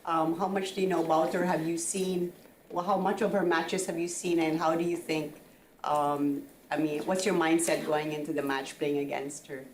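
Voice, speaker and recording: A woman's voice: deep voice, steady tone, moderate pace, distant mic. A woman from the Philippines asking questions as a reporter at a press conference. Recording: echoey room, faint background noise.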